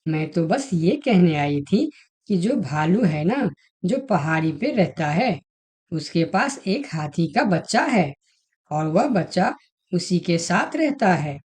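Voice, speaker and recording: A woman playing female animals in an animated moral fable, with a persuasive manner. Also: fairly low pitch, slow, exaggerated voice. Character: female talking animals, fox and elephant, in a fable. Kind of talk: Hindi animated moral story dialogue.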